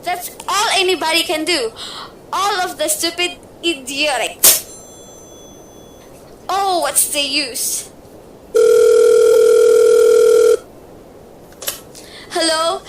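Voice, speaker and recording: A woman's voice: extremely high pitch, expressive, full character voice. A woman playing a nervous, bedridden wife who frets, complains and panics in an amateur radio play. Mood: frantic. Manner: fretful, complaining, quickly panicky. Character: nervous bedridden rich wife, shrill and demanding. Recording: noisy amateur recording.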